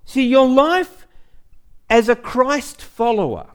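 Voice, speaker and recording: Guy preaching a sermon, pretty high voice, really up and down, chatty, repeats bits for emphasis. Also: big pitch swings, slow, clear, resonant tone. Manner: conversational, uses rhetorical questions and repeats phrases for emphasis. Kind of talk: sermon with Bible teaching.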